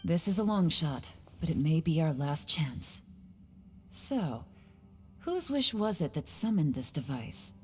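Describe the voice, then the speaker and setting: A woman voicing a cool, composed, shrewd and mysterious woman in an anime game, with a measured, knowing delivery. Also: low-pitched, very animated, soft background music. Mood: serious.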